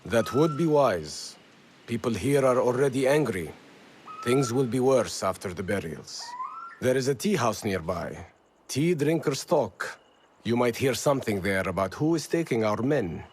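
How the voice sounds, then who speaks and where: A man's voice: medium pitch, animated, a little slow, darkish tone, slight background noise, lightly acted. A man acting as a proud, defiant rebel leader in a video game, with a challenging way of speaking. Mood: measured.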